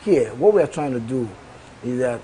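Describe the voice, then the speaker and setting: A man, an agency official explaining procedures in a measured way. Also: mid-range voice, lively intonation, background noise. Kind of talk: news interview answers.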